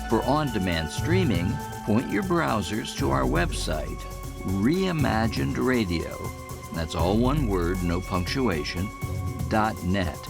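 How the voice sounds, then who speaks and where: Gravelly-voiced male radio announcer. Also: fairly low pitch. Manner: formal, animated announcer delivery.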